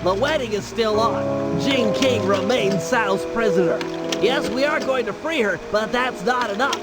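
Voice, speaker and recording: A nerdy little male animal strategist lays things out precisely and earnestly. His voice sits remarkably high for a man, bookish and video-game cartoony, over prominent music. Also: measured pace. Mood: determined briefing. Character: brainy, earnest male animal sidekick who plans the heists. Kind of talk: video game character acting.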